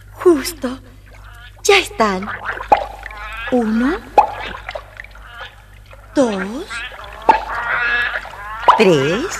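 A woman playing a fussy, loving mother duck in a children's storybook recording, expressive and motherly. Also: fairly high pitch, heavy background noise, full character voice. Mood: expectant, puzzled.

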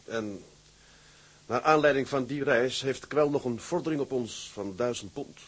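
An actor playing an earnest, weary sea captain in a radio drama, speaking in a sober, measured, plain way. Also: male voice, mid-range voice, husky. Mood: polite. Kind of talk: Dutch radio drama acting.

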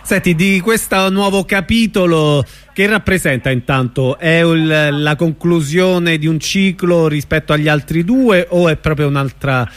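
A man's voice: on the high side, a little slow, hardly any pauses. A man hosting a radio interview, asking questions in a casual, conversational style.

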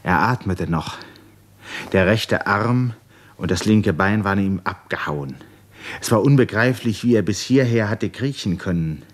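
A man narrating a literary radio drama in a grave, measured manner, with short, deliberate phrases. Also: lowish voice, animated.

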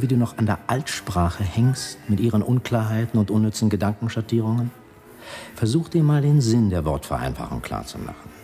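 Radio play casting: a clever party language scholar, bass register with swooping emphasis, fervent, exacting, lecturing. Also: male voice, dark tone. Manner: lecturing, zealous, precise, correcting. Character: fervent male party linguist, clever and pedantic. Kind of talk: German radio drama acting.

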